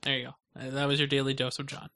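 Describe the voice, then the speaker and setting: Bright-voiced man in casual chat. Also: mid-range voice, monotone, fast. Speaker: podcast co-host.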